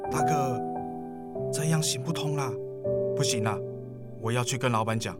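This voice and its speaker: A man's voice: mid-range voice, normal speed, loud music behind the voice, lightly acted. A man playing an indignant honest father. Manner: insistent, indignant. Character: honest, agitated working father. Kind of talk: radio drama acting.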